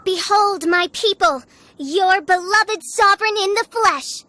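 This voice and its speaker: A woman's voice: very high voice, unhurried, sharp tone, no echo, full character voice. A woman voicing a grandiose teenage girl who poses as a gothic princess in a video game, speaking pompously. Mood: regal, dramatic. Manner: pompous, theatrical, archaic wording. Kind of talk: video game character acting. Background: background music.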